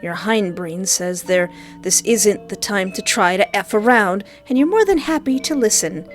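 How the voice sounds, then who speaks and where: A woman's voice: medium pitch, expressive, moderate pace, faint music behind. A woman reading a visual novel aloud and breaking in with sarcastic jokes and squeals of mock panic. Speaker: let's play gamer.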